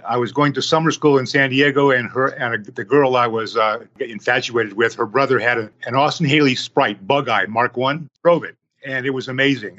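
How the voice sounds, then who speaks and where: A man's voice: medium pitch, sharp tone. Guy in his 60s telling his story step by step, muffled lo-fi line. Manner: unhurried, telling his story in numbered steps. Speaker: guest. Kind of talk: podcast interview storytelling.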